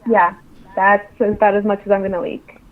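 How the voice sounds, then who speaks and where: A woman's voice: mid-range voice, level tone, moderate pace. Female publisher as interview guest, casual and explanatory, phone-like narrow sound.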